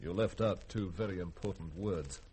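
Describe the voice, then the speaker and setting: Low-voiced sardonic ship's doctor played by a man. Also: normal speed, dark tone, lightly acted. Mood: skeptical, dry. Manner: dry, probing, scientific. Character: sardonic male ship's doctor, coolly analytical. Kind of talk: sci-fi radio drama acting. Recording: hissy vintage radio drama recording.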